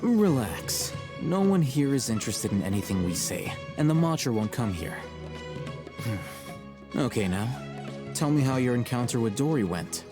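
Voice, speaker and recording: An actor playing a calm, shrewd young male scholar in a video game, speaking in a measured, articulate, formal way. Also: medium pitch, over music, natural voice. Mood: confident, casual.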